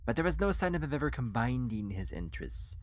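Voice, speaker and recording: A narrator voicing a sinister, eccentric male cafe financier with deliberate, theatrical, coldly courteous speech. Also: medium pitch, big pitch swings, dry recording, studio quality, close to an everyday voice. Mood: smoothly explaining.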